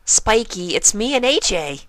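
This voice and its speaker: Man putting on a bubbly, high-pitched girl pony. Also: very animated, unhurried, bright, forceful tone, no room sound, full character voice. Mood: pleading, cheery. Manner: gushing, exaggerated girlish delivery. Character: bubbly, hyper, pleading young female party pony.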